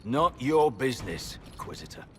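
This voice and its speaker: An actor playing a bitter, defiant fallen knight and traitor general, cynical and scornful, in video game drama. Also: male voice, mid-range voice, big pitch swings, normal speed, slight background noise, natural voice.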